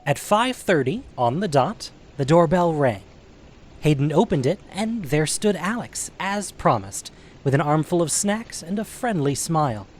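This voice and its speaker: A man's voice: on the high side, sing-song, a little slow, slight background noise. A man narrating a scripted story as the narrator of an audio drama.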